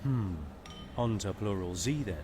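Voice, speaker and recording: A man's voice: fairly low pitch, measured pace, noisy background, natural voice. A man playing a sardonic, easygoing mercenary pilot in a space video game, with a wry, casual manner. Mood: casual, probing.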